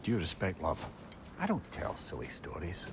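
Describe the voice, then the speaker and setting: A man's voice: deep voice, wildly swooping pitch, normal speed, background noise, heavily acted. A man voicing a witty, affectionate older advisor and storyteller in a video game, with a wry, playful delivery. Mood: tender, teasing. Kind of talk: cinematic video game acting.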